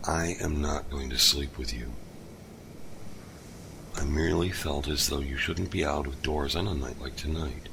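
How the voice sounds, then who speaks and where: An actor playing a kind, lonely older father who lost his son, speaking gently with halting pauses. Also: a bass voice, creaky, background noise, heavily acted. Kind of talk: audio drama acting.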